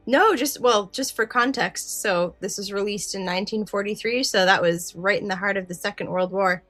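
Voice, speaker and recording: A woman co-hosting a podcast with an informal, animated, casual chatting style. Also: mid-range voice, moderate pace, no room sound, faint music behind. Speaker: podcast co-host.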